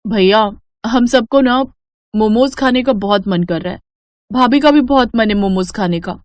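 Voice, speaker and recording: A woman's voice: fairly high pitch, moderate pace, no echo, studio quality, close to an everyday voice. A woman voicing an eager, childishly stubborn young village sister in a cartoon story, with a wheedling, emphatic delivery.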